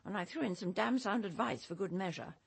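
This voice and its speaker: An actress playing a haughty, cutting, middle-aged English grande dame of the theatre in a radio drama, speaking with scornful emphasis. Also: female voice, mid-range voice, a little fast, gravelly, husky. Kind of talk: BBC radio drama acting.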